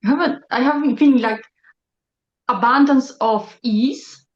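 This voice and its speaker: A woman who learned English later shares her thoughts in a group call, halting and reflective as she searches for words. Her voice sits fairly high. The call audio is echoey and dull. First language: not English. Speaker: session participant.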